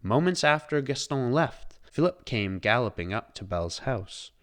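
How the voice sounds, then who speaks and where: A man reading a children's storybook aloud as scripted, expressive narration. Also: medium pitch, moderate pace.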